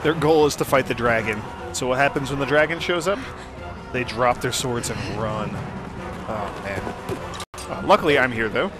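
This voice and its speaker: A man's voice: mid-range voice, normal speed, music in the background. A man giving casual, understated and wry commentary as he plays through a video game. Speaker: let's play host. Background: game soundtrack.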